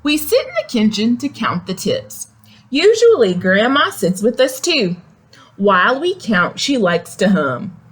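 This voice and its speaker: A woman's voice: fairly high pitch, sing-song, measured pace, breathy edge. A woman reading a picture book aloud to young children, expressively, with simple questions and explanatory asides. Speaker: read-aloud storyteller.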